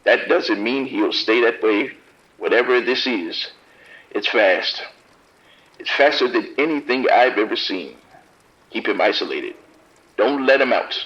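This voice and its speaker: A man's voice: mid-range voice, animated, a little slow, pauses often, a bit bright. An actor playing an overwhelmed, grave policeman, blunt and commanding in a horror audio drama, heard over a dull phone line. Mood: warning. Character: overwhelmed, grim, firm male police officer. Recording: dull, band-limited phone-line sound.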